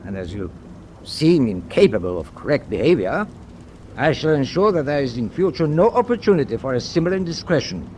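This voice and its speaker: An actor playing a stern, proud middle-aged German captain in a wartime radio drama, speaking in a clipped, deliberate, imperious way. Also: male voice, medium pitch, very animated, a little mellow, a little hiss or hum, close to an everyday voice. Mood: cold, menacing.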